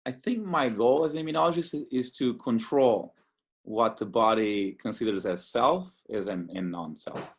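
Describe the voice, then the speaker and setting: A man's voice: mid-range voice, normal speed. A man from Portugal, an immunologist in his thirties, talking enthusiastically in a casual interview with many fillers and restarts. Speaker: immunologist guest.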